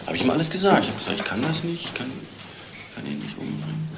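A man's voice: on the high side, very animated, fast talker, heavy background noise. Edgy, street-smart male crook. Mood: confessional. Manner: colloquial, blunt, wary. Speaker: petty criminal. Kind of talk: radio crime drama acting.

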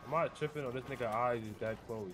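A man's voice: mid-range voice, fast, music in the background. A man chatting casually in improvised street roleplay, slangy and prone to repeating himself. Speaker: player in online roleplay game. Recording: clear background noise, game ambience.

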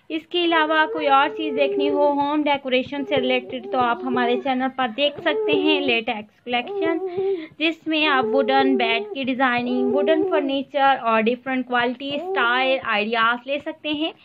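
A woman hosting her home decor channel, narrating a slideshow and blessing her viewers warmly. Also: high-pitched, moderate pace, lo-fi sound, crowd noise. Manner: warm blessings and friendly appeals to viewers. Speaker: YouTube channel host. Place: voice-over, not in the pictured rooms.

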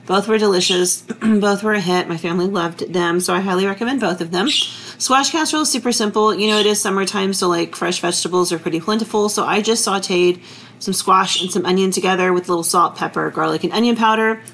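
A woman, chatting relaxed and easygoing as she narrates her cooking vlog. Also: medium pitch, quick pace, slight background noise. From Texas. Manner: chatty, relaxed, full of fillers. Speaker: vlogger. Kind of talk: casual kitchen vlog narration.

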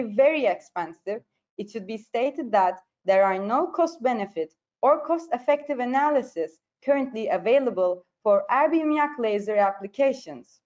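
A dull, slightly lo-fi recording of a woman with a fairly high voice and a Turkish accent. She reads a scripted academic presentation in a formal, careful manner, like an online lecture over slides. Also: a little mellow. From Turkey. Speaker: presenter, periodontology postgraduate.

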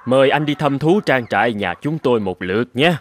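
A man playing a courteous country gentleman in an animated fairy tale, speaking politely and formally with deliberate phrasing. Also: medium pitch, natural voice. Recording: background music under the voice.

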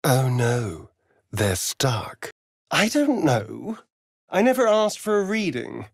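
A man's voice: mid-range voice, huge pitch swings, slow pace, creaky, no echo, full character voice. Sardonic ancient vampire, arch and theatrical, video game style, takes his time, close dry sound. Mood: haughty, dry. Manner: arch, drawling, flamboyant delivery.

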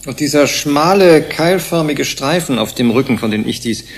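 Inquisitive male investigator, fairly high voice. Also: animated, breathy edge. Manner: inquisitive, measured. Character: polite, probing male investigator questioning researchers. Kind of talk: German science-fiction radio play dialogue. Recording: faint background noise.

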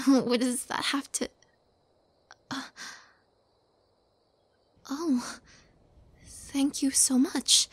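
A woman playing a shy, tender young witch girlfriend in an intimate roleplay, speaking softly and haltingly in a breathy voice that often drops to whispers. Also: high voice, dry recording, heavily acted. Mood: bashful, flustered. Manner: hesitant, halting, soft-spoken, often dropping to whispers.

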